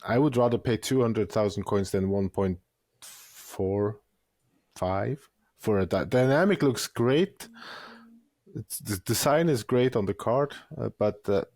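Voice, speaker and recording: A man's voice: lowish voice, measured pace, a little mellow, dry recording, studio quality. A man co-hosting a gaming podcast who talks hesitantly and searches for words while giving critical opinions. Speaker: podcast co-host. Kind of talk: casual gaming podcast chat.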